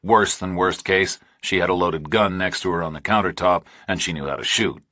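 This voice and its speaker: A man narrating a thriller audiobook in a measured, precise, deliberate way. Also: low voice, pushed and bright, no echo.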